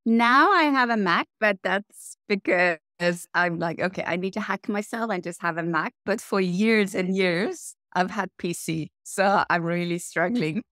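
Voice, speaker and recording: A middle-aged woman from Iran who grew up in Norway, answering interview questions in a lively, reflective way and listing things in runs. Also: medium pitch, unhurried, few pauses, no echo, studio quality. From Iran, raised in Norway. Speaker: interview guest, tech executive.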